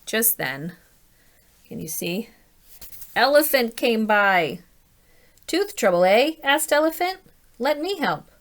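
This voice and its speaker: Woman, teacher's storybook read-aloud, lively swooping intonation, unhurried pace, deliberate delivery. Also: on the low side. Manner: animated, deliberate, asks listeners questions.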